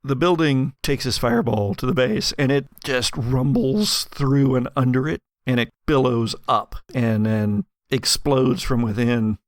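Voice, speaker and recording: A man narrating a tabletop role-playing game as game master, telling the story dramatically with deliberate pauses. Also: mid-range voice, dry recording. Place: dry home studio.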